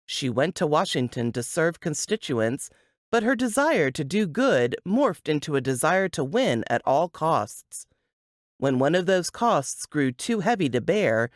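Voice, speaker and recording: Dry studio-like sound, woman, animated intonation, scripted book blurb narration. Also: deep voice, no echo.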